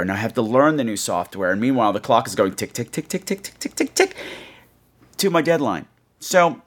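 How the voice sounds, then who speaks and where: Chatty man hosting a podcast. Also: medium pitch. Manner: chatty, self-deprecating, wry, uses mild swearing. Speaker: podcast host. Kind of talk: casual, rambling chat to listeners.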